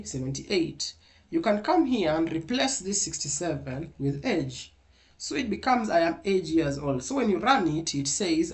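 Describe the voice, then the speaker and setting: Coding teacher, mid-pitched, patient, repeats each step; online class on a video call. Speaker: programming instructor.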